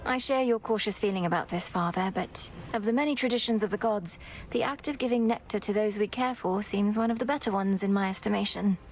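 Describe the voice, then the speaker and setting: A woman's voice: medium pitch, brisk, lightly acted. A woman voicing a composed young witch princess. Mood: earnest. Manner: polite, composed, earnest. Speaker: game protagonist. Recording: game audio with clear music.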